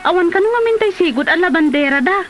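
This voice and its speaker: A woman's voice: very high voice, lively intonation, fast talker, faint music behind. A woman with an Ilocano Filipino accent playing a teasing, nosy village friend in a comedy drama. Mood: helpful.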